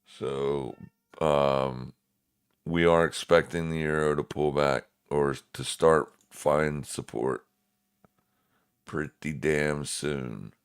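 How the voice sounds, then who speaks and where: A man's voice: extremely low pitch, steady tone, takes it slowly, smooth. A man with a smoker's voice giving casual, laid-back live market commentary to viewers as a streaming trading host.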